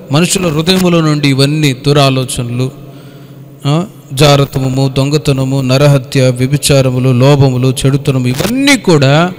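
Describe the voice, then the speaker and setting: A man's voice: fairly high pitch, a little hiss or hum. A man preaching a Bible study as a pastor, teaching in a didactic way, repeating phrases and putting questions to his listeners. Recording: long hall reverb.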